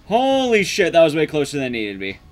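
A man's voice: on the high side, wildly swooping pitch, quick pace. A young man giving casual, sweary, sarcastic live commentary as he speedruns a game. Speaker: speedrun streamer. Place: indoor room at home, streaming setup.

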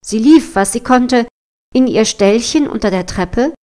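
Female fairy tale narrator, voice on the higher side, reading from a script. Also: animated, a little slow, a little mellow. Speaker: storyteller.

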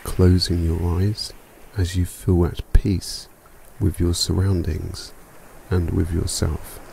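A man narrating a scripted guided meditation for sleep in a calm, soothing, unhurried manner. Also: sits low, creaky, a little hiss or hum. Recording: music bed behind voice. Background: music.

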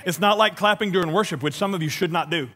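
Middle-aged pastor w a lisp, kinda high voice, joking around on stage, acts out little convos. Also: male voice, quick pace, bright, forceful tone, slight background noise. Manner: storytelling with acted-out dialogue, self-deprecating; walks and gestures while preaching. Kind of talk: humorous sermon. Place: large church stage.

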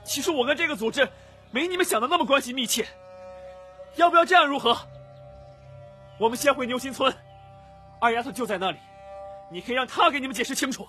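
A woman's voice: high voice, quick pace, pauses often, bright, forceful tone, soft background music. A woman voicing an earnest, troubled young male cultivator in an audiobook, speaking emphatically and pleadingly. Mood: earnest, persuading. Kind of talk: audiobook character dialogue.

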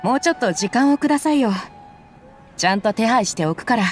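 A woman voicing a tough young mercenary who talks in a rough, casual way in a game cutscene. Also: fairly high pitch, animated, a little fast, faint music behind, natural voice. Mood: easygoing. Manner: rough, casual, gruff slang. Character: tough young female mercenary, blunt, easygoing. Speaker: game character.

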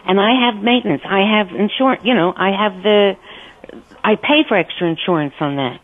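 Female caller, phone-band sound, blunt and worked up, airing complaints. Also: medium pitch. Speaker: prank call victim. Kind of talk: heated phone conversation. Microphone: telephone. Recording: narrow tinny phone line.

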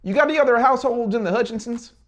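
A man's voice: extremely high pitch, fast, voice pushed up. A man voicing a folksy, businesslike small-town official who gives commands in an audiobook.

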